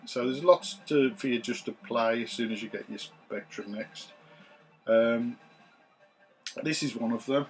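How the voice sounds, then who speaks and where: Man, voice a bit rough. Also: mid-range voice, low-quality recording. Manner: relaxed, rambling, enthusiastic with many ums. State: voice recovering from loss, a bit rough. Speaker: retro games collector vlogger. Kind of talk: casual chat to camera. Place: small room full of retro computers. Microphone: camera's built-in mic. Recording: camera mic, faint room ambience.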